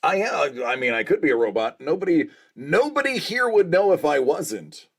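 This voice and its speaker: A man chats casually as host of a collectibles livestream, his voice gravelly with fry and pitched a touch high. His delivery swings up and down in a lively, animated way, joking along with the chat. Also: normal speed. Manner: jokey, reading out chat messages.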